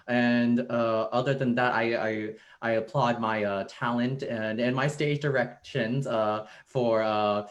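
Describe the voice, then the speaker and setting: A man speaking as a stage director in a casual post-show discussion, thoughtful and halting as he searches for words. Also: medium pitch.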